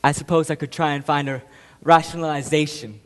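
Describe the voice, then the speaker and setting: A man's voice: a little high, steady tone, natural voice. Man acting a haunted war veteran in an echoing hall. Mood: haunted, subdued. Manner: halting, intense, swinging from hushed to explosive. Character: guilt-ridden male war veteran confessing, haunted and anguished. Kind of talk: theatrical stage monologue. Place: large echoing room, performing to an audience. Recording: reverberant hall recording.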